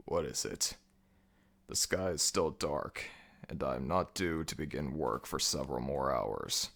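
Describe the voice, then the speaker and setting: A man performs an ancient jackal god of embalming for an ASMR audio drama. The voice is very deep and creaky, and it moves slowly. He sounds weary and lordly, grumbling with dry disdain. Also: a bit bright, exaggerated voice. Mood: grumpy, sleepy. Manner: world-weary, dry, imperious. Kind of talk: scripted ASMR audio roleplay.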